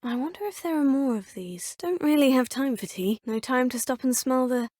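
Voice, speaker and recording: Young British witch, polite with a dry wit, slightly high and husky, close dry studio sound. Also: normal speed, no room sound. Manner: polite, dry-witted, quietly wry. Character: composed, curious young witch student, female. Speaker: player character. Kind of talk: video game voice lines.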